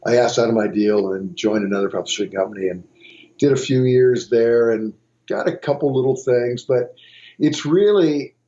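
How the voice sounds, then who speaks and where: A man's voice: medium pitch, moderate pace, dark tone, muffled recording. A middle-aged man from Montana, a guitarist and songwriter, telling rambling stories in a casual interview with many restarts. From Montana, USA.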